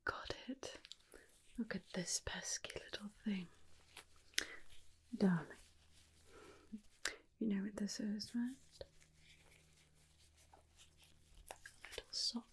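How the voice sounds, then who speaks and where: Slow, breathy whispering woman as a doting vampire. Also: mid-range voice, natural voice, poor audio. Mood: gently scolding. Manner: slow, soothing, coaxing with endearments. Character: doting vampire companion, tender, protective, faintly menacing. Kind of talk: ASMR roleplay, personal attention.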